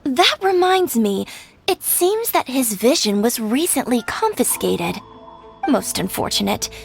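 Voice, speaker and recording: A woman's voice: fairly high pitch, very animated, measured pace, gravelly, slightly rough, heavily acted. A woman playing a polite, composed and shrewd grocery shopkeeper in a video game, courteous and explanatory in manner. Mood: reflective. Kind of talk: video game dialogue acting. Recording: faint music behind the voice.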